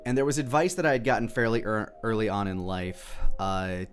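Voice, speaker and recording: A man's voice: fairly low pitch, expressive, fairly quick, slight background noise. A man giving casual, off-the-cuff commentary as a video creator, rambling and thinking aloud as he goes.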